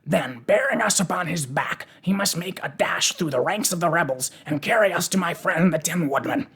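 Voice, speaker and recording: A reader as a calm, husky scarecrow king. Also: medium pitch, voice pushed up, exaggerated voice. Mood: decisive. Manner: measured, musing, mildly pompous. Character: unflappable, kindly, kingly scarecrow man.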